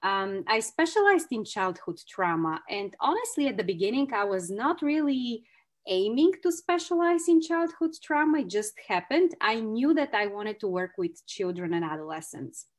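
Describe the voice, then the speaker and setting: A woman's voice: mid-range voice, sing-song, normal speed. Bulgarian-accented woman teaching over a call. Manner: warm, effusive, speaks with non-native phrasing. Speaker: clinical psychologist trainer. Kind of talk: online training presentation. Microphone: video call.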